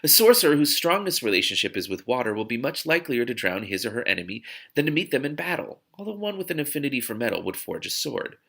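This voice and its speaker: A wise, measured woman mentor from a fantasy storybook, read by a man. The speech is formal and explanatory, with a bright tone, varied melody and a slightly gravelly edge. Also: mid-range voice, big pitch swings, exaggerated voice. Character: wise, measured female magic ward master, mentor. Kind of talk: audiobook-style character dialogue.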